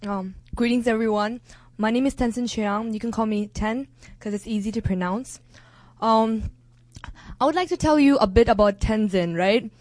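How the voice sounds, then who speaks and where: A young woman from India, a university student, introducing herself to a gathering with hesitant pauses and fillers. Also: on the high side, darkish tone, dry recording. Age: young adult. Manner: hesitant, many fillers and restarts. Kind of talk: casual public introduction.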